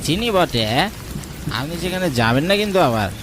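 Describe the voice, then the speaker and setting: A man's voice: fairly high pitch, big pitch swings, moderate pace, pitched above the performer's own voice. A man voicing a wary, fearful tea-stall keeper who is brusque, hesitant and questioning in a cartoon story. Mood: alarmed. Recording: clearly noisy, rain and thunder behind.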